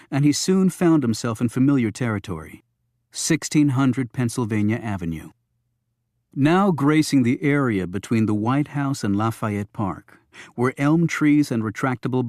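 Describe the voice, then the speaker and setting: A man's voice: medium pitch, a little mellow, no echo, clean studio sound. A man reading scripted thriller narration as an audiobook narrator.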